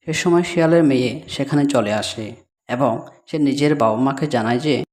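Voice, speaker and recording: A man's voice: medium pitch, moderate pace, darkish tone. A man narrating a scripted children's story, with music behind.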